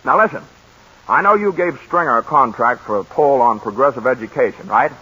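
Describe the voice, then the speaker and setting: An actor playing an excitable, scheming middle-aged pollster in a 1940s radio drama, swinging from gloom to eager glee. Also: male voice, medium pitch, brisk, breathy edge. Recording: dull old radio broadcast recording.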